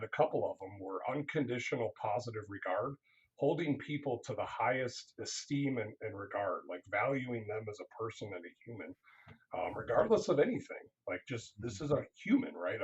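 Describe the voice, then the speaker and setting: A man's voice: mid-range voice, muffled recording. A man, a counseling professor, speaking earnestly in a casual interview, often restarting his sentences.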